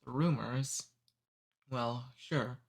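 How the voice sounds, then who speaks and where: Man playing a polite male demon, amused and teasing, soft unhurried ASMR delivery, mid-pitched voice, storybook roleplay. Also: creaky, heavily acted. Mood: amused cordial. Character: cordial, amused male demon who abducts humans.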